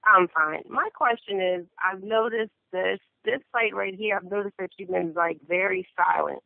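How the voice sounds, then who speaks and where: A woman TV reporter asking a slow, deliberate question on a press call. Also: medium pitch, vocal fry, dry recording. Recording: dry, tinny phone line.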